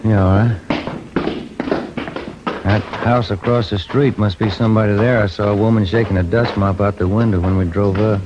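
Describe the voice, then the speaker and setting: A man playing an easygoing, mildly grumbling police sergeant in 1950s radio drama style, low-key, folksy and polite. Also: steady tone, normal speed, over music, lightly acted. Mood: grumbling, casual. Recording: muffled, noisy old radio broadcast.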